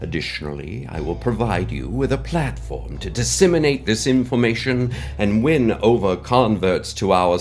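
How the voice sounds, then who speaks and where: A man playing a pompous, mysterious benefactor who delivers a wordy, theatrical comic monologue with dramatic pauses. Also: fairly low pitch. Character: mysterious pompous anonymous benefactor, male, speaking on cassette.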